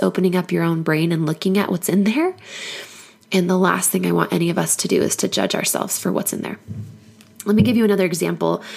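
A woman hoarse from a cold, giving an earnest, encouraging solo podcast talk as a life coach. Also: lowish voice, darkish tone.

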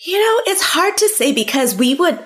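A woman's voice: high-pitched, very animated, moderate pace, a bit bright. A woman in her forties, born in the United States with Cantonese as her first language, talking casually as an interview guest with lots of "like" and "you know.". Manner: conversational, many fillers like "like" and "you know". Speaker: guest author.

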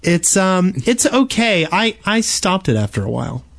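A man's voice: high voice, big pitch swings, normal speed, creaky, a bit bright. A man co-hosting a gaming podcast, casually introducing items in conversation with others. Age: adult, a father. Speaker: podcast co-host.

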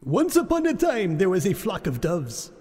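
Theatrical male storyteller, high and swooping. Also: huge pitch swings, moderate pace, darkish tone. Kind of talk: dramatic folk tale reading. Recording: long reverb with music behind.

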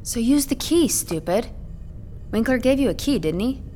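A woman's voice: on the high side, animated, normal speed, warm tone, slight background noise, close to an everyday voice. An actress playing a sarcastic, exasperated young woman trapped in an apartment, in a naturalistic audio horror drama. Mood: exasperated, insistent. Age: young adult. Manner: sarcastic, bossy, naturalistic dialogue.

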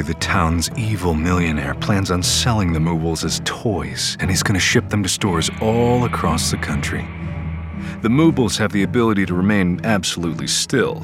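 A man's voice: sits low, lightly acted. A man acting as a frank young narrator who tells his own story casually in an audio drama, with some swearing. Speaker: narrator and main character.

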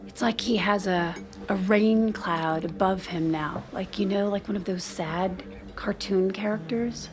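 A woman's voice: mid-range voice, measured pace, few pauses, natural voice. A woman acting in an audio drama as a reserved married mother in her thirties, confiding in a hesitant, self-deprecating way. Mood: wistful. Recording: music playing behind the voice.

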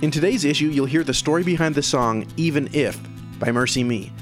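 A man's voice: on the high side, fairly quick. A man hosting an audio newsletter, reading scripted music news in a presenter's style. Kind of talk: scripted narration. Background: background music.

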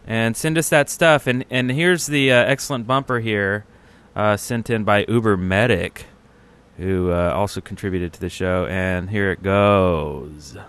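A man's voice: lowish voice, measured pace, fairly bright tone, smooth. Playful male podcast co-host. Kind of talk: casual chat.

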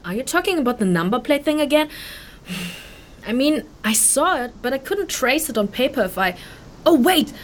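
An actress playing a chatty young woman, a refugee villager in a fantasy audio drama, speaking casually and haltingly with exclamations. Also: sits high, big pitch swings, a little hiss or hum. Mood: hesitant, wry. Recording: music behind the voice.

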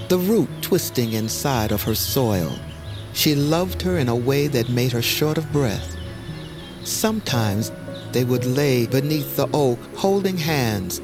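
A solemn storyteller of ancient legend in an audio drama. He speaks very slowly and lyrically, at a middling pitch, with music clearly playing behind him. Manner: measured, lyrical. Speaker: narrator. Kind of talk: scripted mythic storytelling narration.

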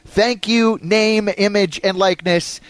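A male sports radio host with a high-pitched voice, recorded dry and close. He chats casually and excitably, with a playful edge, moving between banter and sponsor reads. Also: a little slow, smooth, no room sound.

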